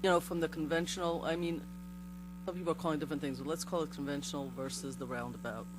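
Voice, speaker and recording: Hoarse man with a cold, mains hum behind. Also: fairly high pitch, steady tone, fast talker. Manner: apologetic, rambling questions. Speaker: board member asking questions. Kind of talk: public meeting questioning. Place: village board meeting room.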